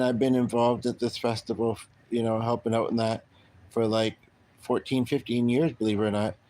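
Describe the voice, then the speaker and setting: A middle-aged man from Canada chatting casually on his own livestream, rambling and halting with many pauses and restarts. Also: mid-range voice, a little mellow. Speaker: livestream host.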